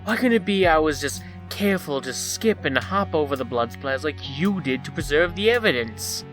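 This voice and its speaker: A woman's voice: deep voice, expressive, normal speed, faint music behind. A woman playing a defensive, bumbling young schemer in a comic dub, with a whiny manner.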